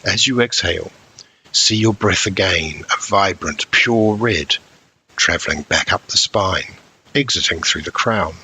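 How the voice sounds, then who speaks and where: A man narrating a scripted guided meditation as an instructor, in measured short phrases with frequent pauses. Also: low-pitched, sharp tone, a little hiss or hum, poor audio.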